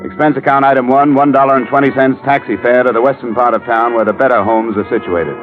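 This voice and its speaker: An actor playing a brisk, hard-boiled American insurance investigator in a 1950s radio detective drama, clipped and matter-of-fact. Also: male voice, medium pitch, fairly even intonation, close to an everyday voice. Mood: brisk, businesslike. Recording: tinny old radio recording, music behind.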